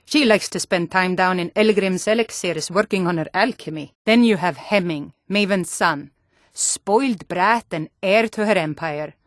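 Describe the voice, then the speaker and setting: An actress playing stern, earnest Nord warrior women and town guards in a fantasy video game, speaking in a firm, measured way. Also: female voice, fairly low pitch, dry recording, clean studio sound, lightly acted. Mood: earnest, disapproving. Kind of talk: video game character dialogue.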